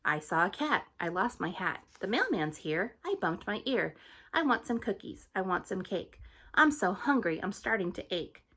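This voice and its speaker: A woman voicing a chatty little girl who never stops talking, in a bright sing-song way. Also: medium pitch, very animated, moderate pace, husky, full character voice. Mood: eager.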